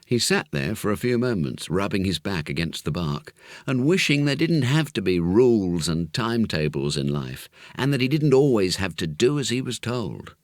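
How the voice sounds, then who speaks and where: A man reading a children's story expressively and with measured phrasing. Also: fairly low pitch, sing-song, no room sound, studio quality. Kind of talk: scripted children's story narration.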